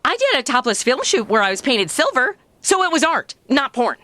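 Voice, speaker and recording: A woman's voice: sits high, big pitch swings, brisk, pushed and bright, exaggerated voice. A woman playing a chatty, oblivious, sassy modern young woman in a comedy audio drama, with flippant, slangy delivery. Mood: breezy, boastful.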